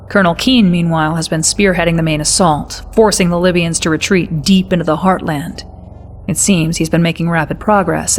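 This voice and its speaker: Casting: actress as patriotic female army intel officer for game cutscenes, slightly low register, formal, earnest briefing delivery. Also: close to an everyday voice. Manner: formal, addresses listener as sir.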